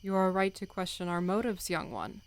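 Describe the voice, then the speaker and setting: A woman's voice: lowish voice, natural voice. Woman playing a stern, dignified cat clan leader. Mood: solemn. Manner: measured, solemn, commanding. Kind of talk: audiobook fandub acting.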